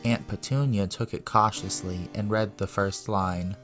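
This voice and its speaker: A man's voice: lowish voice, steady tone, unhurried, a bit bright, faint music behind. A man reading as the narrator of a dramatic audiobook.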